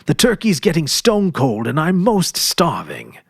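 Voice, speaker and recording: A man voicing a boisterous, impatient young boy with a husky, lively delivery. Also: fairly high pitch, measured pace, no echo, clean studio sound, voice pushed up, full character voice.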